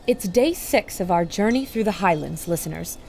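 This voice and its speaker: An actress playing an earnest, wonder-struck radio reporter narrating an expedition in old-time serial style. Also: female voice, on the low side, lively intonation, normal speed, creaky, darkish tone, slight background noise. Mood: earnest reporting. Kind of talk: 1930s radio reporting acting.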